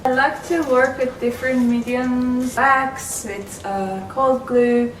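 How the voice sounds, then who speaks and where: A woman's voice: sits high, fairly even intonation, takes it slowly. Woman, hesitant, searching for words, heard from across an echoey room, noisy background. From Iran. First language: Persian. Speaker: artist interviewee. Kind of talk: casual interview. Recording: echoey studio, distant mic, noisy.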